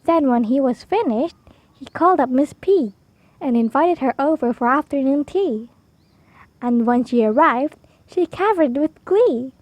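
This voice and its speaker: A woman with a high voice narrates a rhyming picture book for children. Her delivery is expressive and keeps a measured rhythm, and the sound is dry and close. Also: dry recording. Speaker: storybook reader for children. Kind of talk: read-aloud rhyming children's storytime narration.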